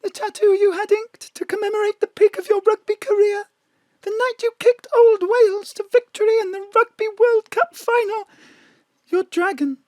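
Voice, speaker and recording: A performer voicing a very high-pitched, tearful glamorous star. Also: female voice, darkish tone, no echo. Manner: suspicious and tense. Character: woman disguised as a glamorous star, wary and tearful. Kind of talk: audio drama acting.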